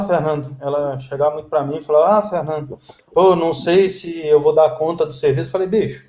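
A man in his fifties from Brazil, a guest telling stories in a relaxed, chatty way. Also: fairly high pitch, reverberant. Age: 50s. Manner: storytelling, lively and chatty. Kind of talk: casual interview chat.

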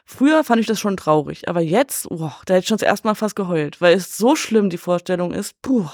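A woman's voice: lowish voice, expressive, brisk, no echo, clean studio sound. A woman guest on a podcast, casually discussing films in a chatty, emphatic, colloquial way.

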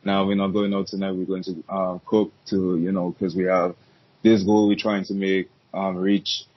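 Male podcast guest, low and husky, relaxed rambling delivery, muffled recording. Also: normal speed. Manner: easygoing, rambling with restarts and fillers. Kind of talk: casual podcast chat. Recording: dull lo-fi sound.

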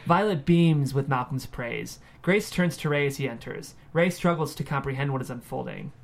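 A man reading scripted screenplay narration and stage directions in a measured way. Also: medium pitch, gravelly.

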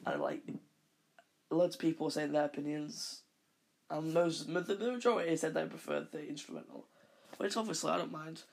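This is a man with a croaky voice, gravelly and a little husky, talking on his own podcast. He drifts from point to point in a long casual monologue, backtracking and fixing what he just said. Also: a little high, big pitch swings, moderate pace. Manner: rambling, with frequent self-corrections. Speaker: podcast host.

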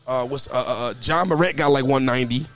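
Casual male host, hoarse, stammering restarts, mid pitch, outdoors with faint music. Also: quick pace, fairly bright tone. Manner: stammering restarts, repeats words. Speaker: podcast host and youth basketball coach. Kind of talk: casual chat. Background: faint background music.

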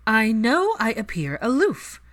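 A woman voicing an aloof, proud aristocratic mother in an audiobook story, speaking in a measured, emphatic, haughty manner. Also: fairly high pitch, huge pitch swings, fairly bright tone, pitched above the performer's own voice, exaggerated voice. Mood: haughty, defiant.

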